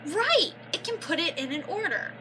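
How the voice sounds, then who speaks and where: A young woman reading a children's picture book aloud like a teacher, pausing to explain and prompt with questions. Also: very high voice, big pitch swings, bright, forceful tone, slight background noise. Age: young adult. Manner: instructive, prompting listeners with questions and affirmations.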